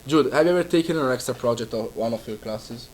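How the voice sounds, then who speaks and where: A man's voice: medium pitch, fast talker, darkish tone, a little hiss or hum. A teenage boy co-hosting a student news show, reading an upbeat script.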